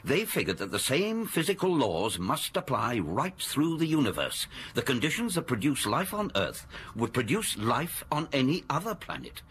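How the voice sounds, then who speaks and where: A man's voice: medium pitch, very animated, a bit bright, a little hiss or hum. An actor playing a learned, earnest male space scientist in a science-fiction radio drama, explaining things patiently and precisely. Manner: measured, precise, lecturing.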